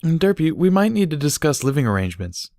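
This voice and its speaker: Man voicing a cheerful teasing female pony, pitched up. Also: big pitch swings, brisk, warm tone, no room sound, studio quality. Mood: practical.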